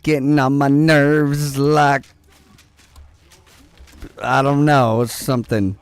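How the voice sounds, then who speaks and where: Slow-talking young man with a low voice. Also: steady tone, smooth, no room sound. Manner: rambling, profane, joking, talks to chat and to the game. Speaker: gaming streamer. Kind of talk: casual gameplay commentary.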